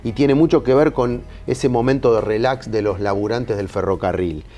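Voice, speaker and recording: A man, telling drink history in an animated conversational way and checking for agreement. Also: mid-range voice, moderate pace. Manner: animated storytelling, seeking agreement with tag questions. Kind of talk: conversational food history explanation.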